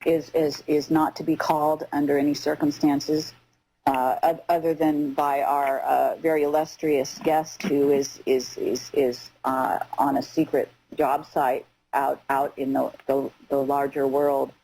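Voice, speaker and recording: A woman with a low voice hosts a live radio interview. She phrases things haltingly, repeating words as she goes, over a faintly noisy internet call. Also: flat delivery, mellow. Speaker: radio show host.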